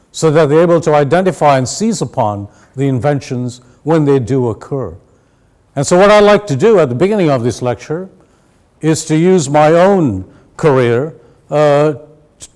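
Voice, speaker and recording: A man raised in Sri Lanka giving a measured, explanatory university lecture to an audience. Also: medium pitch, darkish tone. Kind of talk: academic lecture.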